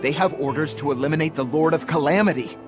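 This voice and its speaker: A man plays a loyal pirate crewman with a fairly high voice, brisk and urgent. Game music plays clearly behind his report. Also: natural voice. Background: game score.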